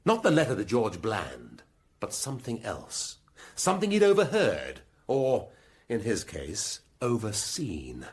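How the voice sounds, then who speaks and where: An actor playing a shrewd, commanding police inspector with an English accent, speaking deliberately and theatrically in a British radio drama. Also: male voice, lowish voice, wildly swooping pitch. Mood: pressing, accusing.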